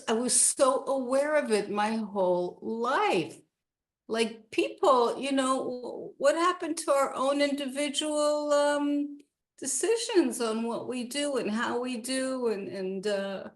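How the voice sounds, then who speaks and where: Woman in her 60s, talks real slow, rambly, lots of restarts, interview guest. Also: high-pitched. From Canada. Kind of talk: casual interview chat.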